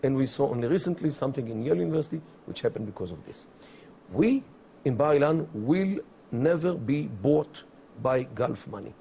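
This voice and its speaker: A middle-aged man from Israel, not an English speaker, giving deliberate interview answers full of pauses and fillers. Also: mid-range voice, animated, vocal fry, dark tone, slight background noise. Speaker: interview guest, academic. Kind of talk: measured explanatory interview answers.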